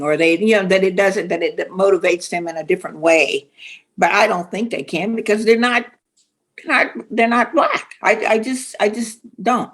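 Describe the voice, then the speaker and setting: A woman speaking earnestly as a panelist, repeating phrases for emphasis. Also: fairly low pitch.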